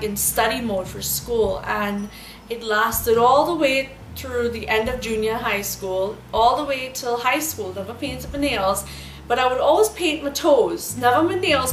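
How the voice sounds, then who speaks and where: Trinidadian woman in her thirties, chatty beauty vlogger, slightly high voice, roomy echo with faint music behind. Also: fairly bright tone, slight background noise. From Trinidad and Tobago. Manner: chatty, rambling, many fillers. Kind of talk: casual storytelling vlog.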